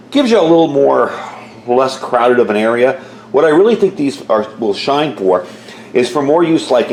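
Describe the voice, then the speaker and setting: Low-voiced man in an echoey room. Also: darkish tone. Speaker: product reviewer and ham radio hobbyist. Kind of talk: casual product review, demonstrating features. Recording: echoey room with faint background noise.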